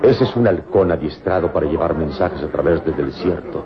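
An actor playing a calm, commanding adventure hero and mentor in a radio serial, solemn and dramatic in delivery. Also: male voice, a bass voice, normal speed, very noisy. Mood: intent. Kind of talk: old radio serial acting. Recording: dull old radio recording with music.

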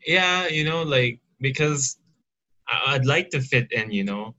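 Young male guest, bright, tense tone, halting casual talk peppered with "you know". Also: medium pitch, lively intonation, highs cut off. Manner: hesitant, lots of "you know". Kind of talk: casual conversation.

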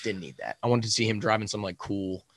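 A young man co-hosting a casual sports podcast, easygoing and quick to laugh. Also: on the low side, lively intonation, fairly bright tone, dry recording. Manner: easygoing, laughs often, quick quiz answers. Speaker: podcast co-host.

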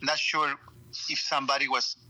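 Man, very bright tense tone, earnest halting testimony, missionary guest. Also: medium pitch, flat delivery, low-quality recording. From Chile. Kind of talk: personal testimony in an interview.

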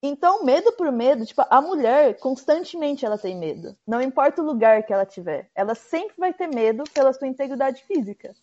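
A woman's voice: high voice, sing-song, fairly quick, few pauses, darkish tone. Chatty Brazilian woman, lo-fi sound. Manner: chatty, frequent "tipo" fillers. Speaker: guest hitchhiking traveler. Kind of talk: casual conversation. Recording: dull lo-fi sound.

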